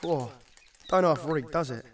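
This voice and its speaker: An actor playing a wary, cynical police partner in a radio drama, blunt and warning. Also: male voice, fairly high pitch, measured pace, far from the mic, slight background noise, natural voice. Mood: disgusted.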